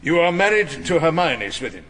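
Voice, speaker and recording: Wry English barrister with animated delivery. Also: male voice, fairly high pitch, brisk. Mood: probing. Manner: wry, dry, theatrical. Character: wry, irascible veteran English barrister narrating his courtroom case. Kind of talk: radio drama narration and courtroom questioning.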